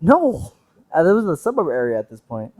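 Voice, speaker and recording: A man's voice: on the high side, brisk, no room sound. A man co-hosting a casual podcast, swearing freely and bantering in a joking way. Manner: profane, joking, animated banter. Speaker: podcast co-host. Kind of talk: casual chat.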